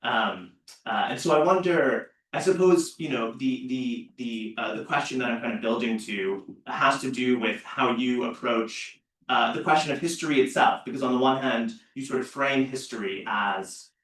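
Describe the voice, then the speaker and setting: A man's voice: medium pitch, normal speed, fairly bright tone. A man in a seminar audience asking a long academic question, hesitant, with many fillers and restarts. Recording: roomy seminar room, far from mic.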